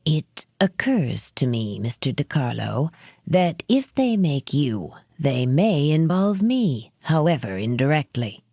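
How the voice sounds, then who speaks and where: A woman voicing a cold, refined and sadistic male crime boss who speaks with soft, clipped menace. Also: low-pitched, very animated, slow, slightly rough, no room sound, heavily acted.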